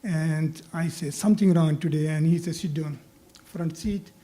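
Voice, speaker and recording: Very mellow, higher-voiced Pakistani-accented man. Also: steady tone, moderate pace. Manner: plain-spoken, non-native phrasing. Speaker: city council candidate. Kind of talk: informal forum speech with anecdotes.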